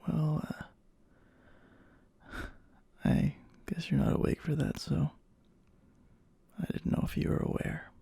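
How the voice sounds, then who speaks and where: Yandere boyfriend, played by a man, for ASMR roleplay: gravelly, breathy, slow, halting, anxiously devoted. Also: fairly high pitch, level tone, mellow, dry recording, heavily acted. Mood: tender, hesitant. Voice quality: breathy, husky half-whisper.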